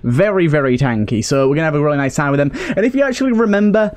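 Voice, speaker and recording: A man with a slightly high voice hosts a let's-play series. He talks through the game in a casual commentary style, speaking into a close microphone. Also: lively intonation, very fast, darkish tone. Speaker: host of a gaming series.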